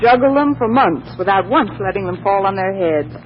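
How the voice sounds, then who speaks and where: A woman's voice: mid-range voice, lively intonation, normal speed, close to an everyday voice. Dry, wisecracking roommate played by a woman, muffled radio. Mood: dry, grumpy. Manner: dry, drawling, bossy. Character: wisecracking, worldly roommate, a thrice-married woman. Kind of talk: 1940s radio comedy acting. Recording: dull, noisy 1940s radio recording.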